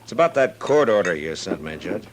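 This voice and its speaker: An American man playing a weary, fair-minded Old West marshal in a 1950s radio western, speaking in an unhurried, laconic way. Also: low-pitched, sing-song. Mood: calm, reasoning.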